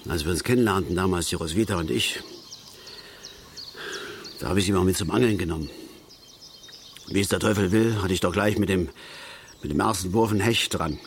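An actor playing a middle-aged father and keen angler in a radio drama, blunt and aggrieved, speaking haltingly and repeating his words. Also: deep voice, a little hiss or hum. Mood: wistful, hurt. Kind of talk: naturalistic German radio drama acting.

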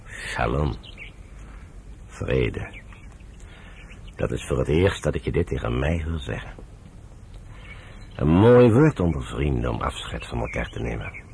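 An actor playing a sober, devout British general in a Dutch radio drama, speaking in a measured, earnest and emphatic way. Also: male voice, extremely low pitch, sing-song, creaky, darkish tone, a little hiss or hum, heavily acted. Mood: tender, solemn.

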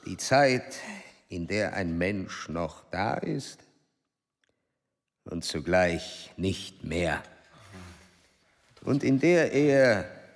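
A man acting an ageing male explorer-scientist in a German radio play, grave and fatalistic, with a measured, formal delivery. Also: low-pitched, full character voice. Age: older. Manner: measured, formal, oratorical pauses. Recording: long hall reverberation.

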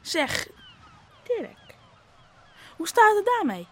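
A woman's voice: very high-pitched, sing-song, very slow, heavily acted. A woman playing a cocksure young fisherman's son, bossy and teasing, in a Dutch radio play.

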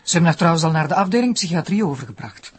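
Lively swooping female voice, Flemish accent, sensible brisk mum, gently chiding, radio drama. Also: low voice. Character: brisk, sensible wife and mother.

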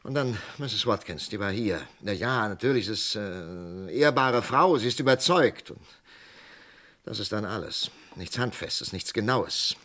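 An actor playing an agitated, morally outraged psychiatrist in a German radio crime drama, speaking urgently and haltingly. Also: male voice, fairly low pitch, expressive, a bit bright. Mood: urgent pleading.

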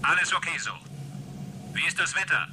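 An actor playing a brisk, businesslike ground controller giving clipped commands in a radio play about spaceflight. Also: male voice, fairly high pitch, lively intonation, pushed and bright, slight background noise. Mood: relaxed, official. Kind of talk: radio drama acting, clipped mission commands.

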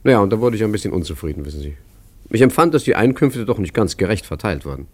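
A low-pitched, genial ageing swindler from a radio play. He chats in an urbane, wry way, pleased with himself and a little sorry for himself, as if confiding in his listener. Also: very animated, fast talker, darkish tone. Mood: smug, confiding. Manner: chatty, wry, urbane and self-pitying, with small chuckles. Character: genial, self-satisfied ageing male art forger telling his story. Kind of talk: German radio play narration and dialogue.

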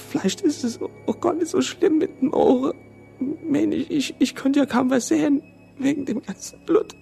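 A woman playing a loyal, frightened young friend in a radio drama, intimate, halting and pleading. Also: high-pitched, vocal fry, dark tone, exaggerated voice. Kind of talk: German radio drama acting.

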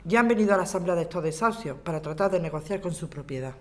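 A woman's voice: lowish voice, lively intonation, rapid-fire, natural voice. A woman with an accent from Spain playing a conciliatory housing lawyer who reasons and appeals in a radio drama. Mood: reasoning. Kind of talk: acted community radio drama.

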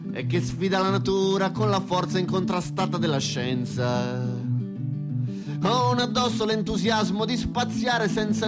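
Male singer, high register, theatrical song style, strong guitar accompaniment throughout. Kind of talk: singing theatre-song with guitar, wordy verses and drawn-out refrain. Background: acoustic guitar accompaniment.

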